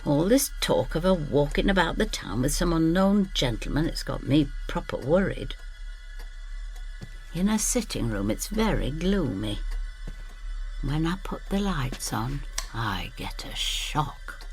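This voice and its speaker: A woman's voice: low voice, very animated, a little slow, soft background music, poor audio. An actress playing a stubborn, loyal, chatty older Northern English woman who tells her story in an audio drama. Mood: uneasy. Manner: chatty, gossipy, blunt Northern phrasing.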